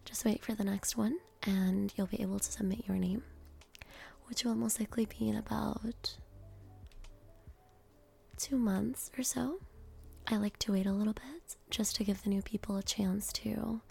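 A woman hosting ASMR, speaking softly and gently, often in a breathy whisper, deliberately repeating words as she reads out and greets. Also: medium pitch, little pitch movement. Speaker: ASMR host. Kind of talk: ASMR, reading out names and greeting each.

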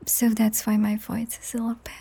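A young woman hosting a whispered, ASMR-style chat podcast, rambling softly with giggles, her voice strained and cracked. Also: on the high side, completely flat delivery, a little fast, gravelly, husky. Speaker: podcast host and audio creator. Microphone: high-end studio microphone.

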